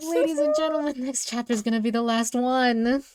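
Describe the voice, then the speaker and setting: A woman's voice: on the high side, fairly quick, darkish tone, clean tone, no echo, studio quality. A woman reading a visual novel aloud and chatting about it in a playful, informal way. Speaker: co-host and reader of visual novel. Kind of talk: story read-aloud with casual chat.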